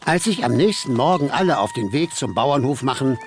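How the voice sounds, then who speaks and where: A man narrating a children's story from a script in an expressive, lively way with dramatic pauses. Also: medium pitch, music in the background.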